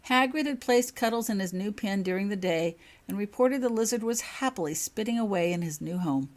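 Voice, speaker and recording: A woman's voice: on the low side, a little mellow. A woman reading a story aloud as scripted audiobook narration, as the author of the fiction.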